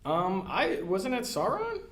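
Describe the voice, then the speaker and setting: A man's voice: high voice, huge pitch swings, measured pace, fairly bright tone. A man who is a podcast guest, hesitant and searching for answers, laughing at himself. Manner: hesitant, searching for words, self-deprecating laughs. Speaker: podcast guest, library staff. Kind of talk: casual quiz chat. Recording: roomy echo with faint background hiss.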